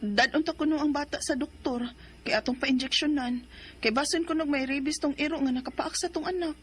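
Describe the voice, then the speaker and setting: An actress playing a young mother in a radio drama, who also narrates it, earnest and quick to defend herself. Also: sits high, lightly acted. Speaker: narrator and lead.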